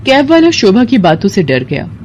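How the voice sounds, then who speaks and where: A woman's voice: medium pitch, sing-song, normal speed, darkish tone, highs cut off, slight background noise. A woman reading a scripted, dramatic story as its narrator.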